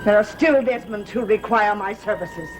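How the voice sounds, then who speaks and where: A woman's voice: medium pitch, normal speed, background noise, natural voice. A woman playing a defiant, long-serving household servant in a gothic TV soap, speaking formally and dramatically. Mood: proud, menacing. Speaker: actor in a TV serial. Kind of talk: gothic soap opera acting. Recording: old TV soundtrack, loud music.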